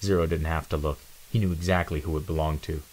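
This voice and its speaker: A man's voice: very deep voice, steady tone, fast, a little mellow. A man giving a dramatic scripted reading of an adventure storybook as narrator.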